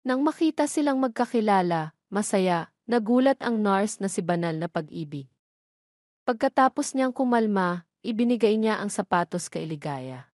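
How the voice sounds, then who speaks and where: A woman's voice: medium pitch, expressive, normal speed, a little mellow, no echo, clean studio sound. A woman reading scripted narration for an audio drama love story.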